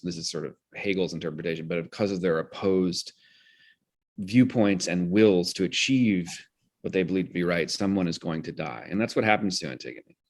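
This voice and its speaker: A man explaining his ideas in an interview in an articulate, analytical way, with measured phrasing. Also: low-pitched. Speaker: guest, theater director.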